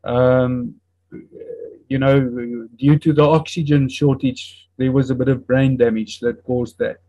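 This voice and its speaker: A man in his fifties whose first language is Afrikaans, speaking with an Afrikaans accent and earnestly recounting a personal testimony in a halting way, often searching for words and restarting. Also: mid-range voice, level tone, dark tone, no room sound, lo-fi sound. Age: 50s. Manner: earnest and reflective. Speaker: interview guest.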